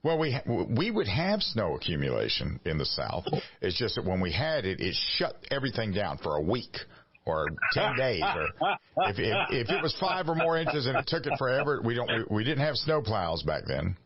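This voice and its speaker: A man's voice: on the low side, sing-song, normal speed, highs cut off, heavy background noise. A man in his sixties from South Carolina, hosting a radio show and teasing his guest in easy, joking conversation. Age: 60s. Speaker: radio host. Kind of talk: casual radio chat.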